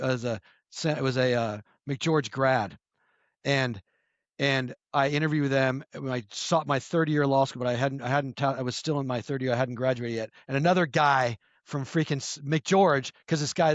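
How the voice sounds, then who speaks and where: Fast-talking raspy man in his fifties. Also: a little high, no room sound, highs cut off. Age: 50s. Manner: rambling, many restarts and self-corrections. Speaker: podcast guest. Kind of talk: casual storytelling chat.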